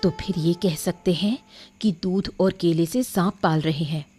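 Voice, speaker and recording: Woman acting a low-voiced, shrewd cartoon queen. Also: a little slow, darkish tone, faint music behind, natural voice. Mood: suspicious. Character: concerned, suspicious queen, shrewd and calm. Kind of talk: Hindi cartoon story dialogue.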